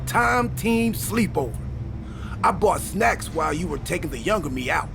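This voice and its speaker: Casting: cheerfully muddle-headed wrestler schemer for a game, high gravelly voice, comic earnest rambling, over loud music. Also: male voice, big pitch swings, moderate pace, heavily acted. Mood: goofy, earnest. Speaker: wrestler character in a game story mode. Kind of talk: video game cutscene acting. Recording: background music under the voice.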